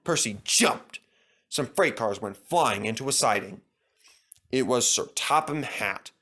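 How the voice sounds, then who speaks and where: Animated male storybook narrator. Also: medium pitch, measured pace. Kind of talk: scripted children's story narration.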